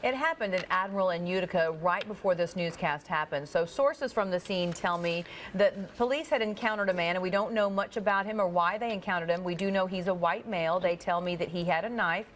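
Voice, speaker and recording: Measured woman anchoring local news. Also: fairly low pitch, a little hiss or hum. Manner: steady, measured delivery of breaking news. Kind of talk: live local newscast reading.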